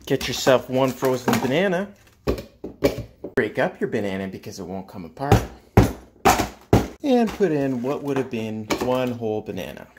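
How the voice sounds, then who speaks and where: A man in his thirties hosting a playful how-to cooking vlog at home with mock-serious, deadpan humour. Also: medium pitch, moderate pace, long pauses, heavy background noise. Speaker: cooking show host. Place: home kitchen and dining room.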